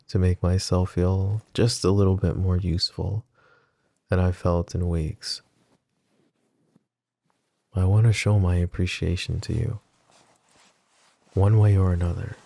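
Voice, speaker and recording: Low, gravelly mafia boss acted by a man. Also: mellow. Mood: warm, devoted. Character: possessive, flirty mafia boss, devoted and teasing. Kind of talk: intimate ASMR roleplay addressed to the listener.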